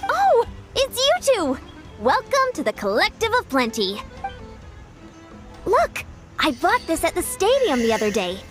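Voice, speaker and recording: An upbeat young woman coach from a video game. She speaks in a high, swooping voice, confident and encouraging as she instructs, with soft music behind her. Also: wildly swooping pitch, a little slow, pauses often, heavily acted. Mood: excited.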